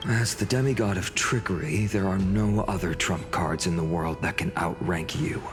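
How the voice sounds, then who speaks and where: Actor as young male hero, low voice, earnest, courteous, composed, game dialogue, faint music. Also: steady tone, normal speed, lightly acted. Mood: earnest, confident. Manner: polite, composed, earnest delivery. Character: earnest, courteous young heroic leader called Deliverer. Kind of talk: scripted game drama acting.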